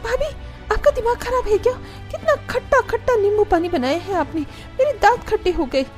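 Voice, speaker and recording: A spoiled young sister-in-law acted by a woman from a cartoon family drama. Her voice is pitched very high and whiny as she orders people about. Music sits faintly behind. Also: lively intonation, normal speed. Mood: annoyed. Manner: demanding, whiny.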